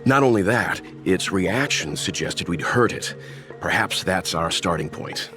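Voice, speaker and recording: A calm, mature scholar from a video game speaks in a deep voice. He is analytical and thoughtful, unhurried in his phrasing, with music playing clearly behind him. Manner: measured, thoughtful.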